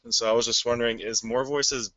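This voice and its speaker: A man with a naturally deep voice, asking a voice coach questions on a webinar in an eager, rambling way. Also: fairly even intonation, fast talker, a bit bright, no echo, dull audio. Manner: eager, rambling, hesitant fillers. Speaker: webinar caller, aspiring voice actor.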